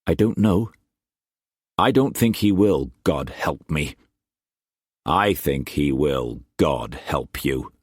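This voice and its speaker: A man voicing a shrewd, fatherly older Scottish trader who speaks in a measured, gruff way. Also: extremely low pitch, lively intonation, dry recording, studio quality, exaggerated voice. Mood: stern. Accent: Scottish put-on.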